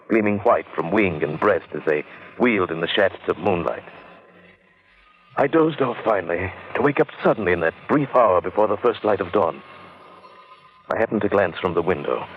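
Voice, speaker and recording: An actor playing a troubled, inquisitive gentleman in an old-time radio drama, speaking in an earnest, literate, theatrical way. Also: sits low, narrow, tinny audio. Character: troubled, inquisitive gentleman narrating an eerie tale.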